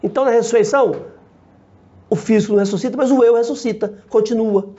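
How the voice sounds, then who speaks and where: Brief: male priest, fairly high but mellow voice, patient teacher, dull lo-fi sound. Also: steady tone. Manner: measured, explanatory, short phrases with frequent tag questions. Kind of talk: theology teaching to camera. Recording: dull lo-fi recording.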